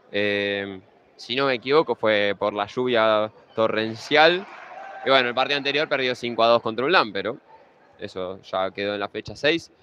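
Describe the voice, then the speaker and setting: A man's voice: medium pitch, a bit bright, clean tone. A young man with an Argentine Spanish accent, giving pre-match futsal commentary in a conversational way, running through league standings and team lineups.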